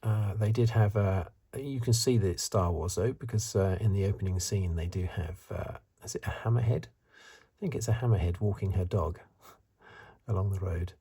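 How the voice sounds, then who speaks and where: A man giving soft-spoken, partly whispered ASMR review commentary in a breathy, hesitant, musing way. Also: low voice, normal speed. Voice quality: breathy, hushed. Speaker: host of a film review video.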